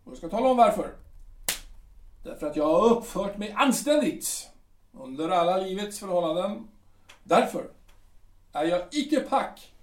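A proud, bitter old artillery captain, played by a man in an audio drama, full of bluster and contempt. His voice sits high and swings widely as he holds forth in slow, pompous phrases. It sounds roomy and a little distant from the mic. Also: animated. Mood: scornful. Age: older.

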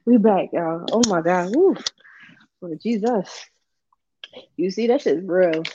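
A woman from New Jersey co-hosting a podcast, hoarse from a recent illness, talking in an easygoing, slangy way. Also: on the low side, slow, muffled recording, background noise. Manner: slangy, easygoing, laughs often. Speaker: podcast co-host. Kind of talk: casual chat.

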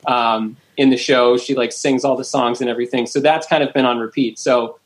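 A man co-hosting a casual podcast chat, speaking in a relaxed way with plenty of ums and likes. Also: medium pitch, a little fast, breathy edge. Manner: chatty, many ums and likes. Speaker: podcast co-host.

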